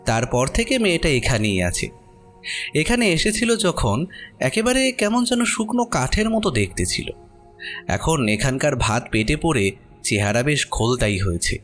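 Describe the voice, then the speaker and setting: A man's voice: mid-range voice, very animated, unhurried, fairly bright tone, faint music behind. A man narrating a horror story expressively from a script.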